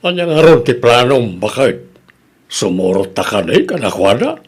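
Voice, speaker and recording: A man's voice: medium pitch, very animated, normal speed, breathy edge. A man playing a suspicious, grumbling older village husband with an Ilocano accent in a Filipino radio comedy drama. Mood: questioning.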